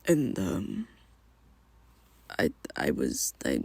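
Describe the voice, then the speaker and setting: Woman, hesitant, rambling, husky, gravelly edges, soft half-whispers, dry close mic. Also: very deep voice, huge pitch swings, no room sound. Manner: hesitant and rambling, halting restarts; soft, often drops to a whisper. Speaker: ASMR roleplay creator. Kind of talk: ASMR roleplay, speaking to the listener as a best friend.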